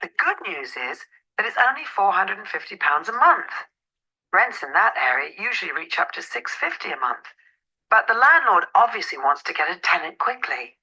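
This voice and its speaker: A woman's voice: on the low side, big pitch swings, normal speed, sharp tone, breathy edge, exaggerated voice, low-quality recording. A woman playing a polite, helpful estate agent in an acted phone dialogue, businesslike and courteous.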